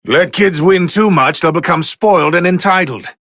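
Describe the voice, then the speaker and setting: A man playing a haughty, bored god of destruction who commentates on video game fights in a condescending, sardonic, theatrical drawl with a gravelly edge. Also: sits high, normal speed, fairly bright tone, no room sound, clean studio sound. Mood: curious, assessing. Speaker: match commentator.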